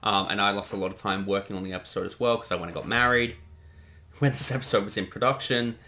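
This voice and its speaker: A man's voice: on the low side, steady tone, quick pace, pushed and bright. A man giving a casual creator's commentary on a production he directed, chatting conversationally.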